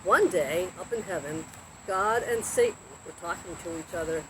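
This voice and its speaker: A woman hosting a Bible story time, reading a children's storybook aloud in simple, deliberate phrases with expressive emphasis. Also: fairly low pitch. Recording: very noisy recording with steady background noise.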